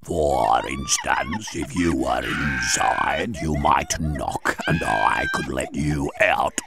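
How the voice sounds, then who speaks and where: A gloomy fish footman from a storybook, speaks in an extremely deep, creaking drawl. He is obtuse and ponderous, dragging every phrase out very slowly with big swoops of pitch. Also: wildly swooping pitch, raised voice, full character voice.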